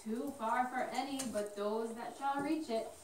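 Woman voicing a gentle turtle dove, high and echoey. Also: a little slow, close to an everyday voice. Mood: welcoming. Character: gentle female turtle dove giving riddling advice. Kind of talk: children's puppet show acting. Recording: distant echoey room with hiss.

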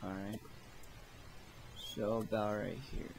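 A man narrating a software tutorial in a casual, offhand, unhurried way. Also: on the low side, little pitch movement, creaky, noisy background. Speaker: tutorial host.

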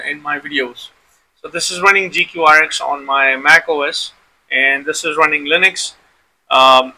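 A man with a very bright, sharp, slightly high voice walks through a software demonstration. He explains informally, step by step, circling back over his phrases. The recording has faint background noise. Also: low-quality recording. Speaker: tutorial host.

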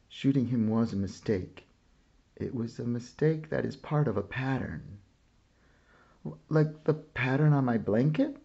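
A man reading a children's picture book aloud in a slow, gentle way, lifting his voice for the children's lines. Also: mid-range voice, creaky, husky, lo-fi sound.

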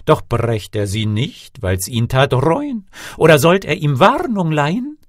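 A mournful male dove from a fairy tale, played by a man, prophesying doom. He speaks slowly and portentously, with a raised, swooping pitch and rhyming cadence. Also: sing-song, no echo, clean studio sound. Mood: ominous lament.

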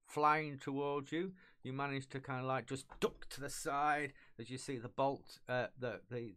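A middle-aged man acting as game master, narrating and calling rules haltingly, humming little filler syllables as he thinks. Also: medium pitch, fairly bright tone. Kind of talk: improvised tabletop game narration and rules talk. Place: small indoor room, online video call.

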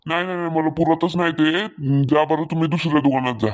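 A man's voice: fairly high pitch, fairly even intonation, quick pace, natural voice. A man plays a cartoon market vegetable seller. He is a put-upon, obliging haggler who protests in folksy, colloquial turns. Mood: protesting. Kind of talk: Marathi comedy cartoon dialogue.

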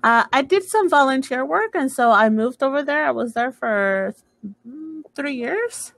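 A woman in her thirties from Texas tutors English over a headset on a video call. Her voice sits a little high and sounds dry and close. She is encouraging and keeps asking follow-up questions. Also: animated, unhurried, creaky, a bit bright, no room sound. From United States, Texas. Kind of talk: casual conversation lesson. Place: room at home, indoors.

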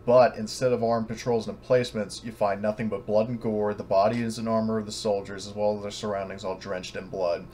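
A man narrating and refereeing a tabletop game as game master, halting and often correcting himself, over an online voice chat. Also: on the low side, flat delivery, dark tone. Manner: halting, hedging, self-correcting. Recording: noisy online voice chat recording.

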